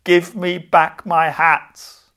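Storybook hat seller, played by a male storyteller, exasperated and exclamatory, raised pitch, slow delivery. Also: flat delivery, bright, forceful tone, exaggerated voice. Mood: angry. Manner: exclamatory, theatrical. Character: exasperated hat seller, man, shouting and lamenting.

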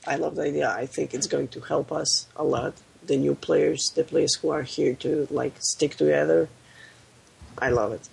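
Male podcast guest, ill, halting delivery, raspy and gravelly. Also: fairly high pitch, fairly even intonation, darkish tone, low-quality recording. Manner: halting, searching for words. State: ill, voice lower than usual. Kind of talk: casual podcast chat.

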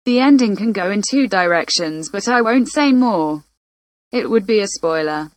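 A woman's voice: mid-range voice, lively intonation, moderate pace. Woman narrating a scripted film review.

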